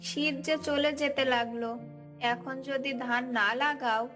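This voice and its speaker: A woman plays a village wife and mother from a cartoon folk tale. Her voice sits fairly high, and she runs the household in a practical, gently bossy manner. Soft music plays underneath. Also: fairly even intonation, measured pace. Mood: caring, nagging.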